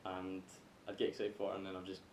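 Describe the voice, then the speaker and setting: A man's voice: completely flat delivery, fast talker. A man with a Scottish accent in casual, rambling conversation, hesitant and a bit sweary. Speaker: podcast panellist. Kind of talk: casual chat about video games. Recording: echoey room, faint rumbling noise.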